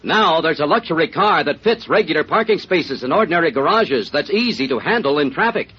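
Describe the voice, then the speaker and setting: High-pitched American radio ad announcer, man. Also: lively intonation, a little fast, sharp tone, soft background music. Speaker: commercial announcer. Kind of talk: scripted old-time radio ad read. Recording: muffled old radio recording.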